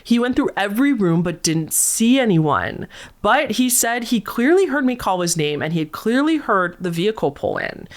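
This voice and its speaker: A woman hosting a storytelling channel, reading a viewer's scary story aloud in a soothing voice with lively asides. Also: mid-range voice, normal speed.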